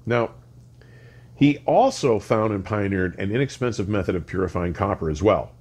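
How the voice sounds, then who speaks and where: An older man presenting a scripted technical explanation in measured, deliberate, emphatic phrases with precise wording. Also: lowish voice, lively intonation, darkish tone, husky. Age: older, around 60. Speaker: host of an audio explainer series. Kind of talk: scripted educational explanation to camera. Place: furnished home listening room, indoors.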